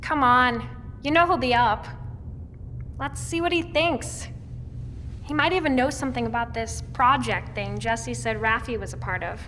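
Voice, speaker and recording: An actress playing a captain's young adult daughter, earnest and gently insistent, in an audio drama. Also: female voice, a little high, normal speed. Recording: reverberant room with faint noise.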